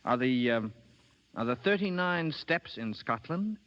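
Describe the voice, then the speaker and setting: Casting: gallant young gentleman, forceful and very animated, vintage radio drama style. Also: mid-range voice. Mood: reassuring, amused. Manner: brisk, lively, emphatic. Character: brisk, sceptical, gallant young gentleman turned fugitive. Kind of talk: 1930s radio drama acting. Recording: dull lo-fi 1930s radio broadcast.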